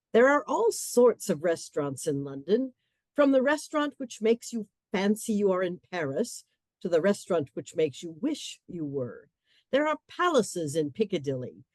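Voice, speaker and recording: A woman playing a wry, urbane storyteller, narrating a comic radio play in a dry, measured, relishing way. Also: lowish voice, a little mellow, no echo.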